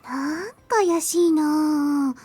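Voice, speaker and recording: A woman's voice: high-pitched, fairly even intonation, takes it slowly, dark tone, no echo. A woman playing a sweet, clingy, easily jealous younger schoolgirl in a Japanese visual novel. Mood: sulky, suspicious.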